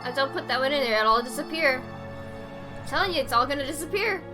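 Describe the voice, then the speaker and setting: A woman's voice: sits high, lively intonation, fast talker, music in the background. A woman chatting casually as she plays a video game, with offhand asides. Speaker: gamer playing and commenting on a video game. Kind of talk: casual let's play commentary, reading game dialogue aloud.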